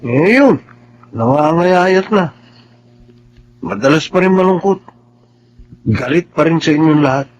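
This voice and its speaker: A man playing a grieving but forgiving young man in a Filipino radio drama, somber, sincere and measured. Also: on the high side, expressive, highs cut off, a little hiss or hum.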